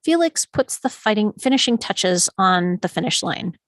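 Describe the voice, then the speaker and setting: A woman narrator reads out scene directions from a script. Her voice sits at a middle pitch, dramatically engaged, and the sound is dry with no room around it. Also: lively intonation, moderate pace, no echo. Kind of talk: scripted narration at a table read.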